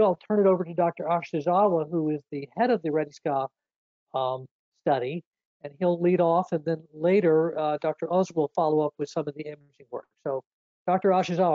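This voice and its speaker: A man moderating a webinar, introducing the speakers in an earnest, measured way with some fillers. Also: a little high, dark tone, dry recording. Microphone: video call. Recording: muffled dry webinar audio.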